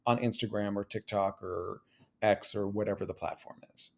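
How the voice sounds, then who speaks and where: Guy with a gravelly voice, chatty and animated, keeps repeating himself. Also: lowish voice, normal speed. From Cuban-American. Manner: animated, repeats phrases and restarts often. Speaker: guest. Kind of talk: casual conversation. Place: New York City.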